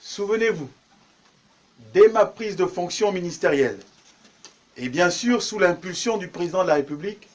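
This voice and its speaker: Man, minister, formal speech, ceremonious, somewhat high voice. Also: expressive, normal speed, long pauses. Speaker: government minister.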